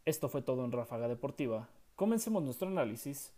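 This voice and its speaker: A man's voice: medium pitch, sing-song, fast talker, mellow. A young man hosting a sports commentary show, reading from a script in an opinionated way and asking many rhetorical questions. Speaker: sports podcast host.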